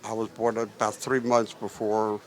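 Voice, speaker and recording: A man in his eighties from Ukraine, giving a live interview in a halting way, with many filler pauses. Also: on the low side, little pitch movement, poor audio. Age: 80s. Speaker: Holocaust survivor interviewee.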